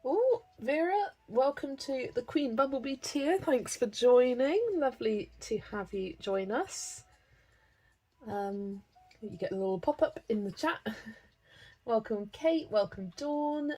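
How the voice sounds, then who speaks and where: A woman with a slightly hoarse voice hosts a live stream, greeting viewers by name and chatting casually while she colours, often laughing. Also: on the high side, expressive, slow, mellow, poor audio. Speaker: livestream host.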